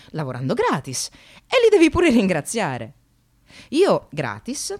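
A woman's voice: on the low side, darkish tone. An actress playing an ironic, anxious woman in precarious work, confiding her life in a wry, theatrical radio monologue. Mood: bitter irony. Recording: radio play with music behind.

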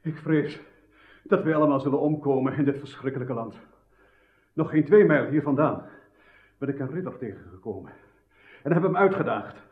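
An actor playing a fearful, foreboding knight in a dramatic radio play. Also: male voice, medium pitch, moderate pace, long pauses, close to an everyday voice. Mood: fearful, shaken. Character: fearful, shaken knight recounting his defeat. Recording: tinny, echoey old radio recording.

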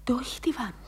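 A woman's voice: high-pitched, a little mellow, full character voice. A woman acting in a 1950s German radio play as a naive, sheltered young lady, breathless and hesitant. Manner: breathless, hesitant, repeating herself.

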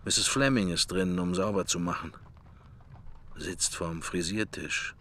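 A man's voice: low voice, unhurried, a bit bright. An actor playing a wistful middle-aged man musing inwardly in clipped fragments, in a literary radio drama. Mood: hushed, wistful.

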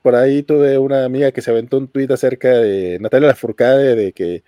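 A man's voice: medium pitch, steady tone, fairly quick, no echo, dull audio. A Mexican man with a Mexican Spanish accent, chatting easily on a podcast panel and chuckling between points. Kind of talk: casual panel chat.

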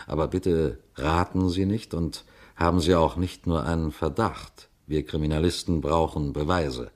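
An actor playing a police detective who tells his case to listeners in a deliberate 1950s radio crime play style. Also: extremely low pitch. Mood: instructive. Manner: deliberate, rhetorical questions to the listener.

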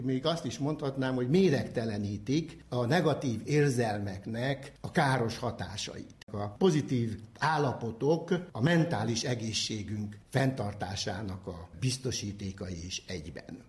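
A man explaining concepts as a psychology expert in a formal, measured, didactic lecturing manner. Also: mid-range voice, a little mellow.

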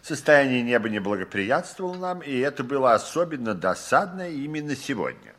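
An actor playing an excitable, absent-minded astronomer of about fifty in a 1960s radio play, fretful and emphatic with drawn-out words. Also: male voice, mid-range voice. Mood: distracted, self-important. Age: middle-aged.

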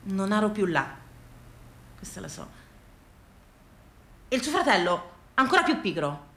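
A woman performing a comic storytelling monologue on stage, with rhetorical questions, repeated phrases and dramatic pauses. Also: mid-range voice, very animated, pushed and bright. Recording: echoey room.

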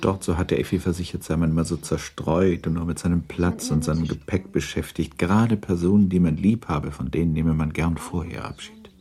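A man narrating a classic novel for a radio drama as a sober, measured and matter-of-fact storyteller. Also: low voice, warm tone, lightly acted.

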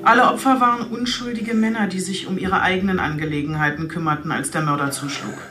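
An actress playing a senior police detective, measured, articulate and businesslike, in a German radio drama. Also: female voice, fairly low pitch, steady tone, sharp tone, soft background music. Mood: formal, composed.

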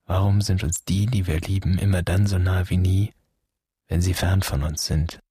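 An actor playing a brooding, wounded grown son in a poetic radio play, speaking in a measured, solemn, introspective way. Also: male voice, low voice, little pitch movement, warm tone, breathy edge, no echo, studio quality. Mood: wistful. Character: brooding, wounded grown son addressing his father's generation.

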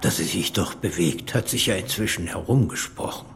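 An actor playing a gentle, whimsical old Pope who talks playfully to a child and drops in Italian, in a German radio play. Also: male voice, sits low, creaky, background noise. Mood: kindly, curious. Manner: drawn-out, playful, sprinkles in Italian.

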